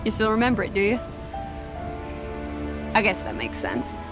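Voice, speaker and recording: A woman's voice: mid-range voice, big pitch swings, normal speed, natural voice. An actress playing a proud, blunt young woman warrior with hidden hurt in a video game cutscene. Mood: wounded, probing. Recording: music behind the voice.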